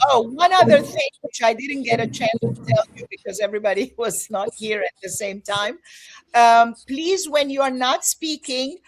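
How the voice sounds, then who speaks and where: A woman's voice: fairly high pitch, rough recording. A woman hosting an online panel of filmmakers, guiding them with friendly instructions over a video call. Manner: friendly, directive, gives instructions. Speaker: festival host moderating filmmakers.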